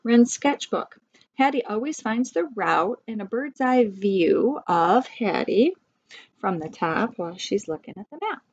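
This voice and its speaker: Unhurried woman reading a picture book aloud. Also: medium pitch, animated, breathy edge. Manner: explanatory, pointing things out to children. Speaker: read-aloud teacher. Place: small room at home. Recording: dull lo-fi webcam sound.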